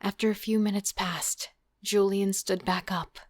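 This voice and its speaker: A woman's voice: medium pitch, fairly even intonation, measured pace, natural voice. A woman acting as a hard-edged female killer and thief, narrating and living through her ordeal in a horror audio drama with tense, dramatic delivery. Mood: tense storytelling.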